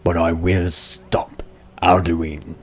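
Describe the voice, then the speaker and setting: A man's voice: low-pitched, level tone, slow, a little hiss or hum. A man acting out the player character's lines in fantasy game dialogue. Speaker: gamer voicing the player's lines. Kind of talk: acted reading of game dialogue.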